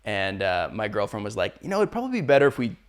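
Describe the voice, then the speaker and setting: A man from Canada hosting a casual interview, relaxed and chatty. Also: on the low side, fast talker. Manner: relaxed, chatty, laughs often. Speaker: podcast host.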